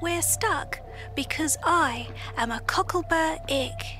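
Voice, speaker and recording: A woman's voice: high-pitched, slow pace, music in the background. A woman voicing a small, sticky little animal in a musical storybook, polite, fretful and plaintive. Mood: earnest, plaintive.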